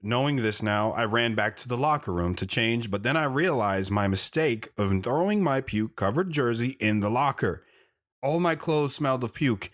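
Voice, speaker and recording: A man's voice: medium pitch, lively intonation, moderate pace, fairly bright tone, lightly acted. A man playing a plain-spoken guy recounting his youth as first-person storytelling in an audio drama, earnest and matter-of-fact. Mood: earnest narrating.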